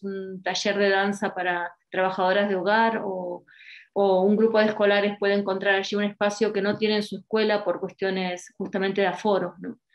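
A woman's voice: medium pitch, highs cut off. Woman moderating a panel by video call. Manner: reads audience questions, tags phrases with a questioning word. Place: home room with posters.

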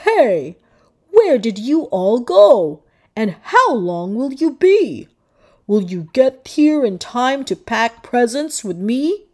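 Jolly old male storybook figure, read by a woman: loud, hearty, commanding, very animated, music behind. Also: fairly high pitch, slow pace, exaggerated voice. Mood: questioning. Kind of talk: children's storybook read-aloud.

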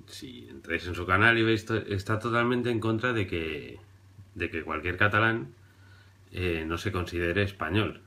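A man in his thirties from Barcelona, commenting on video clips in a dry, ironic way, with hesitant fillers and pauses, recorded on a webcam indoors. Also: lowish voice, pushed and bright. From Barcelona, Spain. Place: indoor room before a printed backdrop.

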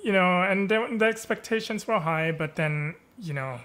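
A man's voice: high-pitched, normal speed, sharp tone. A man in his thirties from Germany, a native German speaker, talking casually over a headset on a video call, with frequent chuckles and fillers. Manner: easygoing, chuckles often, many fillers and tag questions. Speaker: guest. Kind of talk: casual interview chat. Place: home room, video call.

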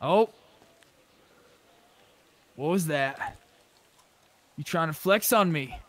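A man's voice: fairly high pitch, animated, drawn-out words. A man playing an online roleplay game, chatting casually with a bantering, mock-tough manner and some swearing.

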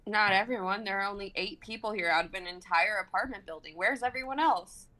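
A woman in her twenties acts a bewildered young woman in an amateur drama reading. She sounds incredulous and anxious, questioning everything, with a medium-pitched voice. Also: fairly quick, sharp tone, close to an everyday voice, poor audio. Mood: upset, incredulous. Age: 20s. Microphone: microphone on a video call.

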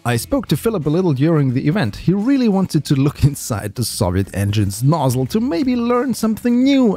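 A man narrating his space vlog with enthusiastic, lively storytelling. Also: on the high side. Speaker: space video creator.

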